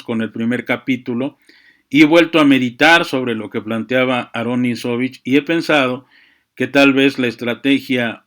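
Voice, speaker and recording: A man giving a reflective, unscripted monologue, often halting to search for words and adding verbal tags. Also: mid-range voice. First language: Spanish. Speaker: speaker on chess and literature. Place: small room with books.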